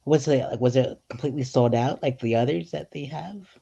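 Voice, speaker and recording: A man hosting a casual comedy interview as a fellow comedian, halting but enthusiastic and agreeable, over an online call. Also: mid-range voice, vocal fry, warm tone, slightly rough. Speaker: host, stand-up comedian. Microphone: online video call. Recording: dull lo-fi call audio.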